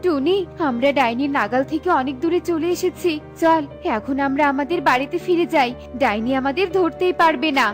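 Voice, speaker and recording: A shrill, whiny cartoon bird, voiced by a woman, who is greedy, jealous and quick to run away. Her very high voice wheedles and complains. Bright children's music plays under her. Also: lightly acted. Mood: fearful. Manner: whiny, scheming. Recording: with light background music.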